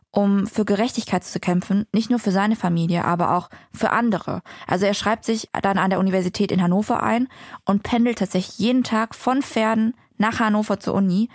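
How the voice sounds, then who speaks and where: A woman hosting and narrating a radio feature, explaining the story in a calm tone. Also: mid-range voice, no echo. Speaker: host and narrator. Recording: dry close sound with faint music.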